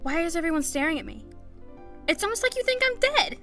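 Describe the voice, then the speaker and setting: A woman's voice: extremely high pitch, very animated, fast talker, a bit bright, soft background music, full character voice. A woman playing a breezy, matter-of-fact part-angel heroine in an audio drama.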